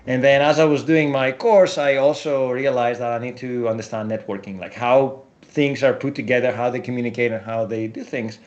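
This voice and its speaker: A man's voice: mid-range voice, a little fast, few pauses. Greek native, forties, man, interview guest, storytelling tone, slightly dull audio. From Europe. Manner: storytelling, animated asides. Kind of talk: casual interview.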